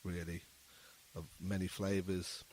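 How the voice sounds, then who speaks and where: A man speaking as a musician guest in a casual interview, explaining his thoughts in a halting, thoughtful way. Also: low-pitched, level tone, a little mellow, a little hiss or hum, low-quality recording.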